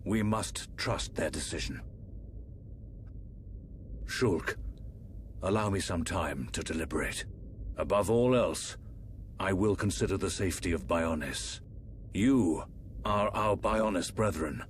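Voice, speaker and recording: An actor playing a grave, aging emperor in a fantasy video game, speaking in a formal, measured way. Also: male voice, sits low, background noise. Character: solemn aging emperor, stately fantasy ruler and father. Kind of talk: dramatic video game voice acting.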